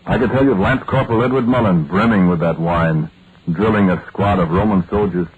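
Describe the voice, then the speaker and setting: A low-voiced, haunted soldier, played by a man narrates in first person for a vintage radio play. He is solemn and deliberate, with pauses inside his sentences. The recording is narrow and noisy. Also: lightly acted. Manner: measured, deliberate, pausing mid-sentence. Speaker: narrator and lead character.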